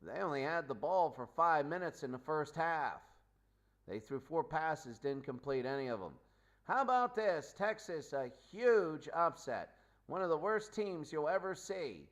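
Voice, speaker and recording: A man hosting a post-game show, talking off the cuff through football results in a halting, emphatic way with repeated phrases. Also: on the high side, big pitch swings. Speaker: sports show host. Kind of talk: unscripted post-game recap commentary.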